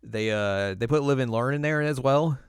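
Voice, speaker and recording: A man with a clear, smooth, medium-pitched voice banters as a podcast co-host. His talk is casual and jokey. The recording is dry, with no room sound around him. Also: no echo. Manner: jokey, bantering, quick to laugh. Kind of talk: casual chat about movies.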